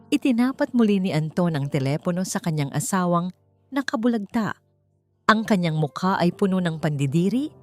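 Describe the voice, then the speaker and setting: A woman with a Filipino accent reading a scripted story in a deliberate, emotive, theatrical way. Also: deep voice, darkish tone. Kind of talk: dramatic scripted story narration. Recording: roomy sound with faint music. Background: faint music.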